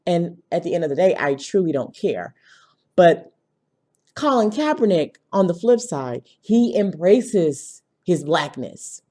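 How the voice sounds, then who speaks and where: A woman with a fairly low voice speaks as a video commentator giving her opinion casually. She is blunt and emphatic, driving points home with short tag questions. A cold leaves her slightly hoarse. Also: a little mellow.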